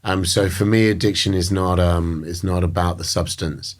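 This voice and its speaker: Deep-voiced British man, measured and thoughtful. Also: fairly even intonation, slight background noise. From Britain. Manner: measured, thoughtful, many fillers and restarts. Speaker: guest. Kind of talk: reflective interview talk.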